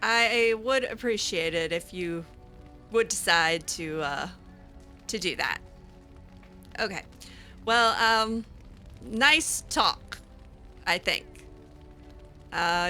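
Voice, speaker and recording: A woman's voice: lowish voice, bright, forceful tone. A woman roleplaying over a video game, talking casually and hesitantly with a wry edge. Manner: hesitant, wry, lots of ums. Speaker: roleplay gamer. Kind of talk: casual in-character roleplay chat.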